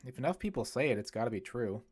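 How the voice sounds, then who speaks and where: A man's voice: medium pitch, lively intonation, fast, darkish tone, breathy edge. Man acting as young female witch in training, curious, blunt, casual, questioning, audiobook character. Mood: uncertain, dismissive.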